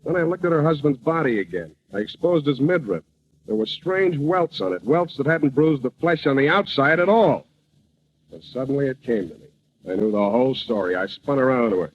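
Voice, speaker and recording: Man as a hard-boiled detective, deadpan, wisecracking noir narration, slightly high voice, dull vintage radio recording. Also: fairly quick, lightly acted. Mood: brooding, deadpan. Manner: deadpan, cool tough-guy delivery. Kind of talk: old-time radio comedy sketch, noir narration. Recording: noisy, dull old radio broadcast recording.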